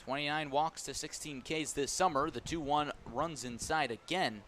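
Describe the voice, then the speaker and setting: A man's voice: a little high, normal speed, a bit bright, a little hiss or hum. An American man giving live radio play-by-play of a baseball game as the announcer. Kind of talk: live radio sports commentary.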